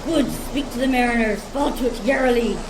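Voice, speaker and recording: A woman playing a brisk ship's master in a Shakespeare radio play, giving urgent, clipped commands. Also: fairly high pitch, noisy background, lightly acted. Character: brisk ship's master barking orders in storm. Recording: voice over rain and storm effects.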